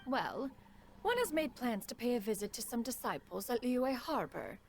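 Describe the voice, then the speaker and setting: A woman's voice: fairly high pitch, very animated, moderate pace, noisy background. A woman playing a haughty, dignified immortal in a video game, speaking in a formal, archaic way. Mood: aloof. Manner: formal, haughty, archaic, calls herself "one". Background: music.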